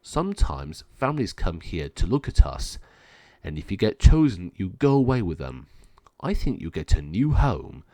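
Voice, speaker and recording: A man doing a gentle abandoned dog. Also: low voice, very animated, normal speed, vocal fry, dry recording, pitched below the performer's own voice, exaggerated voice. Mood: reassuring. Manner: gentle, resigned. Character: lonely abandoned male dog, gentle and wistful.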